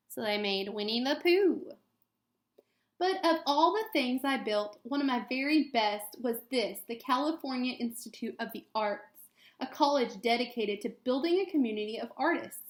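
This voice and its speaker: Woman, slightly high pitch, teacher reading to kids, expressive storytelling, echoey room. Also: moderate pace. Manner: expressive, child-directed storytelling delivery. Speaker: elementary school teacher. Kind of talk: reading a children's picture book aloud with asides to students.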